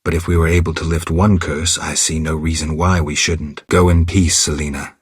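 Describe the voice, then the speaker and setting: An actor playing a stoic, world-weary male monster hunter in a video game, with a gravelly voice and terse, deadpan delivery. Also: very deep voice, moderate pace, no room sound, clean studio sound. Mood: stoic, reserved. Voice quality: gravelly, husky. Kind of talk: video game character dialogue.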